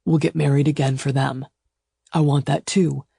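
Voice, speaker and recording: A woman voicing a fierce, possessive male lover in a romance audiobook, with a low growl and an emphatic, insistent delivery. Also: fairly even intonation, moderate pace, creaky, dark tone, husky, dry recording, clean studio sound, exaggerated voice. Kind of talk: audiobook romance character dialogue.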